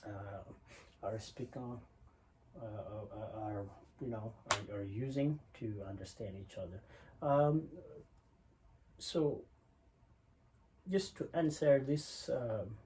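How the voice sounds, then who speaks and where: Slow, halting, low-voiced man from South Sudan. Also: dark tone. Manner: halting, many fillers and repeated words. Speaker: podcast host. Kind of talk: solo podcast monologue.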